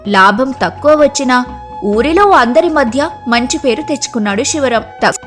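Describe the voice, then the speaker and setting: A woman's voice: high voice, lively intonation, normal speed, fairly bright tone, faint music behind. A woman narrating a scripted moral story as a storyteller.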